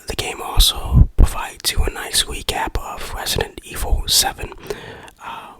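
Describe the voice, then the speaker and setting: A person whispering a casual game review in ASMR style. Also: poor audio.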